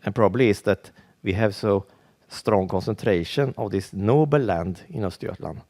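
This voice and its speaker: A Swedish man with a Swedish accent, giving a conference presentation in English as a second language, halting and full of restarts. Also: fairly low pitch, darkish tone. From Sweden. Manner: halting, with frequent restarts and fillers. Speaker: conference speaker.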